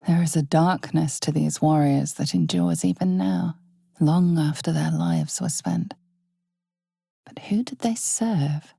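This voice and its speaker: A woman playing an ominous, knowing narrator of a dark fantasy video game, speaking in a measured, dramatic, hushed way. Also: low-pitched, vocal fry, no room sound, studio quality, heavily acted.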